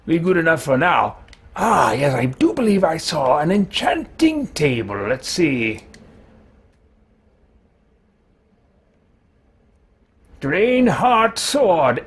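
A man voicing a fussy, absent-minded, genteel fantasy wizard, musing in formal, deliberate phrases as he roleplays through a video game. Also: mid-range voice, creaky, breathy edge, exaggerated voice. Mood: pleased, pondering. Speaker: let's-play streamer roleplaying his game character. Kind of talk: in-character game roleplay commentary. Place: small indoor room at home.

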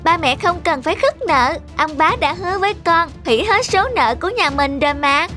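A woman voicing a clever little village boy in a children's cartoon, polite but cheeky and quick-witted. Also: very high-pitched, expressive, bright, forceful tone, faint music behind, full character voice. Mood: confident.

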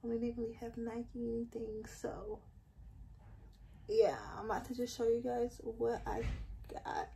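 A woman's voice: fairly high pitch, a little slow, gravelly, dark tone. A young woman in her twenties chatting through a casual shopping vlog, rambling with fillers, filmed on a handheld phone in a mall and then at home. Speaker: vlogger. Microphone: handheld phone camera. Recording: noisy phone recording.

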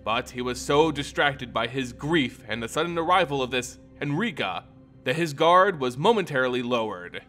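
A man reading a fantasy tale as a dramatic narrator, with theatrical, deliberate phrasing. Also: medium pitch, lively intonation, fairly bright tone, soft background music. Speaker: storyteller, narrator.

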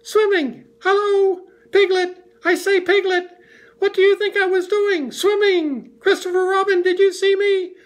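A tiny, squeaky young kangaroo boy from a storybook. The voice is pitched far up and bubbles with excitable, proud showing-off. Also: measured pace, few pauses. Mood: excited, proud.

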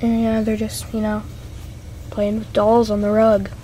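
A woman's voice: mid-range voice, monotone, unhurried, warm tone. A young woman giving a casual, rambling show-and-tell tour at home, giggly, self-deprecating and apologetic, recorded on a handheld camera's own mic. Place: small carpeted room at home. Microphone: handheld camera's built-in mic. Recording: noisy handheld amateur camera audio.

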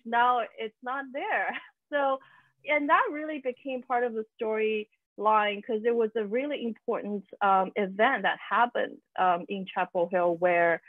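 A woman's voice: mid-range voice, no echo. A young woman filmmaker talks in a casual, hesitant way, with many fillers. Her voice comes through a tinny, phone-like video call line. The room sounds dry. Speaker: documentary filmmaker. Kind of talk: panel discussion answer. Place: home room, indoors. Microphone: video call with earphone mic.

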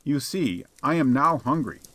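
Audiobook role: male reader as meek, hungry, quietly cheeky beggar, gentle manner, medium pitch. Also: expressive, a little slow. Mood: gently pleading. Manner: gentle, humble, politely cheeky. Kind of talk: dramatized audiobook character.

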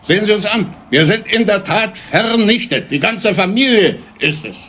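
An indignant older patriarch in a crime radio play. His voice sits fairly high, and he declaims pompously about the family's respectability, deeply affronted. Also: expressive, moderate pace, pushed and bright, slight background noise. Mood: indignant, shaken. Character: outraged, respectability-obsessed father of a grown son. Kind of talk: German radio crime drama acting.

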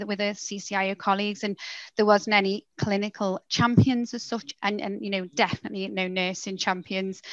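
A woman in her thirties speaks on a conference video call through a headset, pausing often with fillers and restarting her sentences. The sound is dry and close, with a dull, lo-fi quality. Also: mid-range voice, fairly bright tone, no room sound. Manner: hesitant, many restarts and fillers. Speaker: panelist, senior nurse in digital health. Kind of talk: conference panel presentation. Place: home room, indoors.

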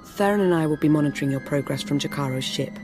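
Game ally, female agent; measured, composed woman actor. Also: sits low, natural voice. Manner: measured and poised. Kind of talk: video game voice acting. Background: game music.

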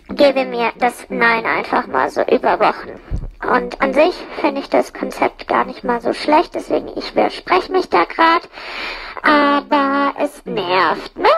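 A woman's voice: high-pitched, gravelly, fairly bright tone, low-quality recording. A woman rambling through a casual comic monologue as a storyteller, slangy and sweary, joking and mimicking people as she goes. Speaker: audio-play storyteller in a puppet's persona.

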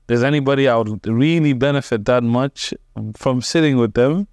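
A young man, an imam raised in Seattle, answering interview questions calmly and reciting Arabic phrases with ease. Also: mid-range voice, clean tone, dry recording, clean studio sound. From Ethiopia, raised in Seattle. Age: young adult. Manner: calm, measured, reciting Arabic phrases fluently. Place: studio room with plants and shelves. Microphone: lapel mic.

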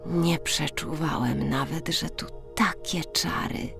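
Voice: medium pitch, steady tone, slow, creaky, a bit bright, faint music behind. A storyteller voicing a small, earnest, wistful male gnome in a children's fairy tale. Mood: awed.

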